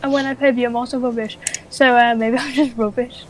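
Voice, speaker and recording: A woman's voice: fairly high pitch, fairly even intonation, quick pace. A young woman in casual gameplay chat, bantering playfully and putting herself down. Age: young adult. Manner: playful, self-deprecating bantering. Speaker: gaming video host. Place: small room at home, indoors.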